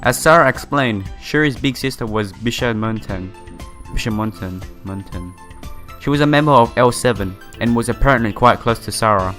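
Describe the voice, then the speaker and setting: A man's voice: mid-range voice, normal speed, fairly bright tone, faint music behind. A man reading a visual novel aloud in a casual playthrough, stumbling over words now and then and reacting with his own commentary. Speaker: gaming YouTuber.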